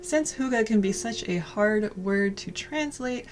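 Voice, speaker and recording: An American woman co-hosting a lifestyle vlog, talking in a soft, warm way and laughing often. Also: mid-range voice, lively intonation, slow pace. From United States. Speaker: vlog co-host. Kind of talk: casual cozy chat and sponsor read.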